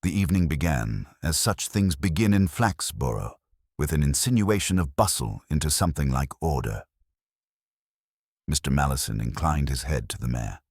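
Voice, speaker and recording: A man narrating a period comic mystery from a script in a wry, measured, literary manner. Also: very deep voice, animated, darkish tone, no room sound, studio quality. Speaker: narrator of an audio mystery story.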